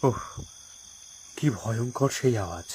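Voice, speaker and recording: A man playing a timid, frightened young grandson in an animated horror story, anxious and hesitant. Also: mid-range voice, slow pace, slight background noise. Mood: fearful.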